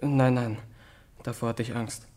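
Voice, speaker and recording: Actor as a meek, halting young student. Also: male voice, medium pitch, steady tone, darkish tone, natural voice. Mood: contrite. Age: young adult. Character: timid, contrite young male student suspect. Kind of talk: German radio drama acting.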